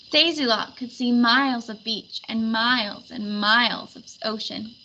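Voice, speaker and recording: A woman reading a children's picture book aloud as an expressive narrator. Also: fairly high pitch, highs cut off, slight background noise. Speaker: storybook reader.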